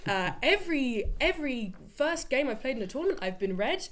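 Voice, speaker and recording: A woman's voice: sits high, sing-song, brisk, a crowd in the background. A woman giving an upbeat, emphatic timed speech as a player in a tabletop game. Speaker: tournament player.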